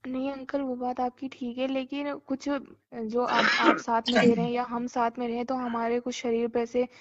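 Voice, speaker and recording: A young woman speaks as a caller in a high voice with a flat, even melody. She is earnest and polite, yet keeps pressing her point. The phone recording is dull and lo-fi. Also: moderate pace. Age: young adult. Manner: earnest, polite but persistent. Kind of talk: informal phone conversation. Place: phone call.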